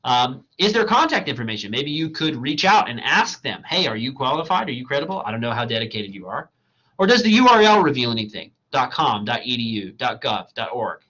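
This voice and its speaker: A man teaching a college writing class in a recorded slideshow lecture, talking in a loose, conversational way, tagging "right?" onto his points, his voice going hoarse. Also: mid-range voice, very animated, brisk, pushed and bright, muffled recording. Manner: conversational and digressive, asks "right?" often. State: losing his voice.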